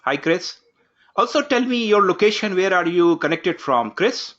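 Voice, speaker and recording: A man opening an online course from his home office, giving instructions briskly and calling on participants one by one. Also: sits high, expressive, fairly bright tone, lo-fi sound. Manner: brisk, instructive, takes roll call. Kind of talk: live online class introduction. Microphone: video call.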